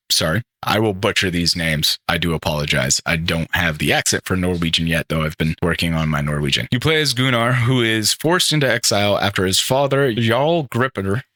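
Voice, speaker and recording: A man's voice: on the low side, bright, forceful tone, no echo, studio quality. A young man hosting a gaming podcast alone, rambling and enthusiastic, often correcting himself as he talks through the games. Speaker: podcast host. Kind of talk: solo casual gaming podcast commentary.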